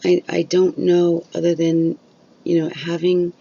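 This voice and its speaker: A woman's voice: fairly low pitch, monotone, unhurried, vocal fry, warm tone, highs cut off. A woman vlogging candidly about chronic illness, blunt and open, swearing freely while losing her voice. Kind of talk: candid casual vlog talk.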